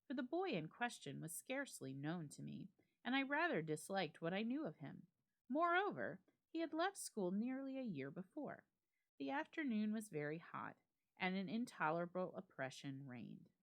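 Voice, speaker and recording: Expressive woman reading, lowish voice. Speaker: library staff member reading a story aloud. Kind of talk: dramatic reading of a classic ghost story.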